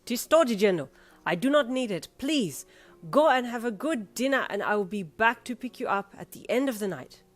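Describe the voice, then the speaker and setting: A woman's voice: on the high side, animated, moderate pace, pitched above the performer's own voice. A woman voicing a kindly, emphatic male taxi driver with an Italian accent in a narrated story. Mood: emphatic, insistent.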